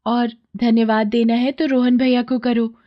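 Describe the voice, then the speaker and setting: A woman acts out a poor young girl in a children's moral tale. The pitch is raised well up. She sounds earnest, sincere and full of affection. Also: little pitch movement, moderate pace, muffled recording, close to an everyday voice. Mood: fond chiding. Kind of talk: children's moral story dramatization.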